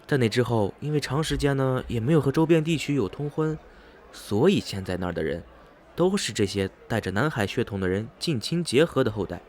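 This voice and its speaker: A man acting in a radio drama as an educated, rational traveller who speaks in a measured, inquisitive and sceptical way. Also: medium pitch, expressive, darkish tone, lightly acted. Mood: speculative. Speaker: actor in an amateur student radio drama.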